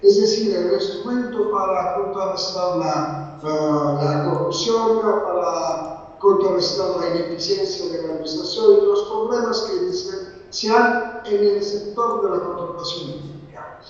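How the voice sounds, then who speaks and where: A man from Italy with an Italian accent, giving an academic talk in careful, halting non-native speech. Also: high voice. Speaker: conference speaker. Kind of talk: academic talk over video link. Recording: echoey distant lo-fi video call.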